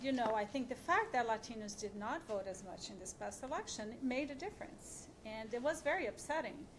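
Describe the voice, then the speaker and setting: A woman's voice: a little high, slight background noise, rough recording. A woman on a discussion panel speaking earnestly and persuasively, urging listeners to act.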